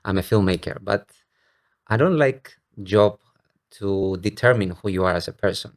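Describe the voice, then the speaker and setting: A man's voice: fairly low pitch, normal speed. A man in his thirties from Cyprus, born in Bulgaria, talking reflectively as a podcast guest. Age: 30s. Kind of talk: reflective personal interview. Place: home studio at a dining table.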